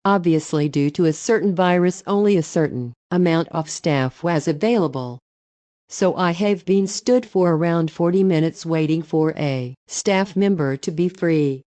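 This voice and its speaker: Female narrator for read-aloud stories: mellow tone, close and dry, matter-of-fact. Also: fairly low pitch, moderate pace, no room sound. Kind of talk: reading online stories aloud.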